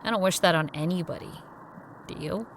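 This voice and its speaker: An actress voicing Kara, a young woman on a road trip, talking in a brisk, teasing, upbeat way. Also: fairly low pitch, creaky, slight background noise. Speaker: lead character. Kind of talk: audio drama acting.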